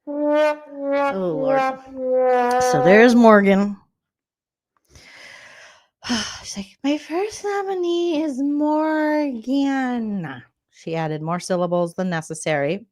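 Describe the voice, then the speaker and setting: A woman's voice: high-pitched, expressive, very slow, pauses often, a little mellow. Sarcastic lady comic recapping reality tv, keeps jumping into silly voices. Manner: mocking, jumps into exaggerated character voices. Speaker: comedian recapping a reality show. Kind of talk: comedic recap with quoted impressions.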